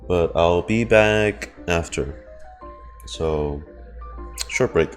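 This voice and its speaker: Low-voiced, slow-paced man streaming games. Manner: rambling, informal, mild swearing. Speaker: game streamer. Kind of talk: casual livestream game reaction commentary. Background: game music.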